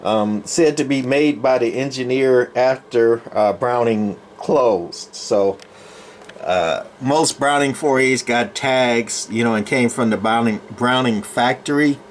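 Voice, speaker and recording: A man's voice: mid-range voice, takes it slowly. Male hobbyist presenter, mid-range voice, plainspoken and rambling, off-the-cuff show-and-tell with background noise. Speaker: radio collector presenting his collection. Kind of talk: casual unscripted show-and-tell. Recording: clear background noise, room ambience.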